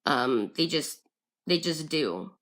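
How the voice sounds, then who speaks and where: A woman in her twenties with a raspy voice, talking casually to her vlog audience in a halting way, with many ums and restarts. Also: low voice, lively intonation, a bit bright. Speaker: vlogger. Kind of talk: casual personal vlog talk.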